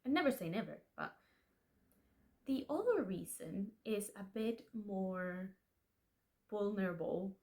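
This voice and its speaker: Chatty self-deprecating young woman vlogger. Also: medium pitch. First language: Spanish. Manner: chatty, self-deprecating, restarts and laughs often. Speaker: aspiring author and channel host. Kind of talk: casual confessional vlog.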